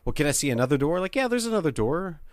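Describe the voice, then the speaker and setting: A man's voice: fairly high pitch, very animated, very fast, dry recording, clean studio sound. A man telling casual game master anecdotes, swearing and acting out the dialogue as he goes. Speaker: podcast panelist and game master.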